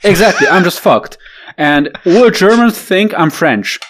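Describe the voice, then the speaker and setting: A young man chatting casually, joking and wry, in an unhurried manner. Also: high-pitched, expressive, fairly bright tone, slight background noise. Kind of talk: casual chat.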